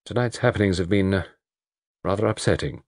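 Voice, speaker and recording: A man doing a stiff, halting Englishman. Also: low voice, no room sound, studio quality. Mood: awkward. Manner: halting, formal, hesitant. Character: reserved, stiff Englishman, middle-aged.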